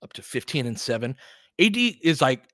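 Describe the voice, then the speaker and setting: A man's voice: mid-range voice, big pitch swings, normal speed, fairly bright tone, no echo, studio quality. A man hosting a live basketball stream, giving casual, analytical commentary and chatting with viewers in between short reactions to the play.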